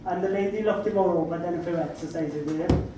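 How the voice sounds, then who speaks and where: A man's voice: high voice, fast talker, background noise. A man with a South Welsh accent doing stand-up, telling brash, sweary, self-mocking stories with punchy raised punchlines. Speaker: stand-up comedian. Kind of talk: stand-up comedy storytelling. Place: comedy club stage. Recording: echoey club recorded from a distance.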